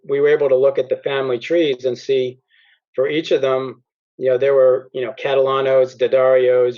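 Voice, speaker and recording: Man explaining family research, muffled. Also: mid-range voice. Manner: relaxed, many fillers and restarts. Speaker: author and genealogy researcher. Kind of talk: explaining research over slides in a podcast chat.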